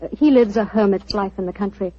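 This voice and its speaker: Actress as earnest young woman, warm and breathy, lo-fi 1940s radio. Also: medium pitch, fast talker, no room sound, close to an everyday voice. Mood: pleading. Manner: earnest, pleading. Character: anxious young woman in mourning, pleading. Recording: dull old radio recording.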